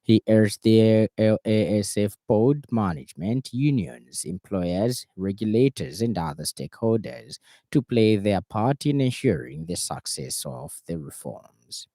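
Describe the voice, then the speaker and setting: A man with a Zambian accent reading a scripted radio news bulletin in a formal, measured manner. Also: lowish voice, expressive, mellow, no echo, studio quality. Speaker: news reader. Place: radio studio.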